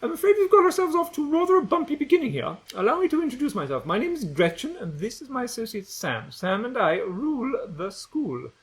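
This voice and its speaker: A man's voice: very high-pitched, very animated, a little fast, hardly any pauses, voice pushed up, poor audio. A man voicing a bossy, sneering schoolgirl bully who speaks in a prim, over-polite way.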